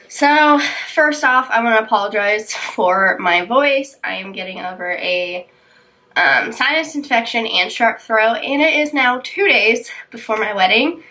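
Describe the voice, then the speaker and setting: A woman's voice: fairly high pitch, measured pace, pushed and bright, poor audio. A young woman vlogger with a congested, sick-sounding voice, chatting casually with lots of ums and likes while doing her makeup. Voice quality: tight, dry throat. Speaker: beauty vlogger. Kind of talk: casual get-ready-with-me chat.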